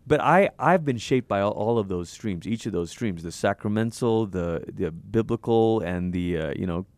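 A pastor from Malaysia talks through his ideas in a warm-paced interview, often rising in pitch when he gets excited and laughing easily. His voice sits on the low side. The sound is dry and close. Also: no room sound. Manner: animated, thoughtful, quick to laugh. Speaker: pastor and author. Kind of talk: conversational interview.

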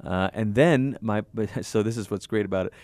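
Animated Malaysian-born pastor, low voice. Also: male voice, no room sound. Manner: animated, thoughtful, quick to laugh. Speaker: pastor and author. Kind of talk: conversational interview.

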